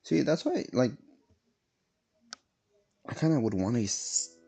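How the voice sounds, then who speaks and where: A young man streaming a game, talking casually and rambling, quick to get annoyed. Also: mid-range voice, sing-song, creaky, warm tone, slightly rough, soft background music. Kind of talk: casual gameplay commentary.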